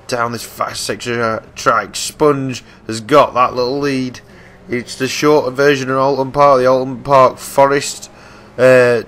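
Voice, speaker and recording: A man giving chatty live commentary on a racing game, his voice croaky and hoarse as he loses it, often restarting his sentences. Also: mid-range voice, sharp tone, slight background noise.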